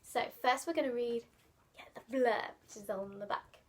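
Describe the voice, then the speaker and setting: A woman reading a children's picture book aloud, dramatic and playful, often dropping into hushed asides. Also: a little high, normal speed. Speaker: children's storyteller.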